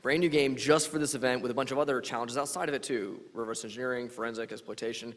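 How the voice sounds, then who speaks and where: A man presenting his hacking contest on stage, talking fast in a casual, enthusiastic way. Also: medium pitch, fairly even intonation, fairly bright tone. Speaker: capture the flag organizer. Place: large conference hall. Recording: reverberant conference hall.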